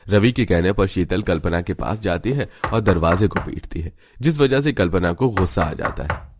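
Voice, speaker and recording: Male narrator, fairly deep voice, scripted storytelling for an animated family tale. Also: moderate pace, noisy background.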